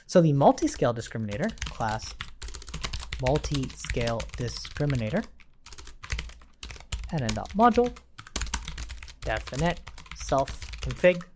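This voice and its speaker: Low-voiced woman teaching, lively intonation. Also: a little slow, pauses often, background noise. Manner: informal, explains step by step, checks with "right?". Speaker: instructor. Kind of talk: live coding tutorial, explanatory.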